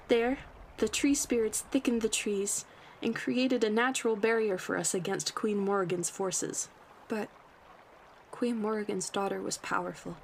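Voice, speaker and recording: A woman's voice: medium pitch, slight background noise, close to an everyday voice. A woman voicing a proud, solemn talking rabbit of the forest, speaking earnestly and formally in a fantasy roleplay monologue. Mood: earnest, sorrowful. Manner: earnest, formal storytelling in measured phrases.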